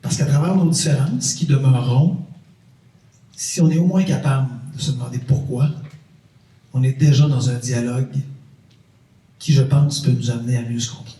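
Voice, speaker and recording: A middle-aged man with a Québécois French accent speaks casually to a lecture audience, repeating words for weight and joking with listeners. His voice is mellow and dark. It carries the long echo of a large hall, picked up from some distance. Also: medium pitch, moderate pace, long pauses. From Quebec. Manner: conversational, repeats phrases for emphasis, jokes with the crowd. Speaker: mayor giving a talk. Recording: echoey hall, mic at a distance.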